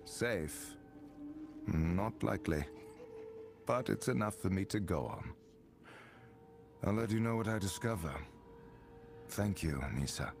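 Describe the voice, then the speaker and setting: A man's voice: low-pitched, lively intonation, slow pace, gravelly, long pauses, music in the background, exaggerated voice. A man playing a grave, terse adventurer hero in a fantasy game, speaking in a brooding way. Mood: grave, guarded. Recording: game score behind.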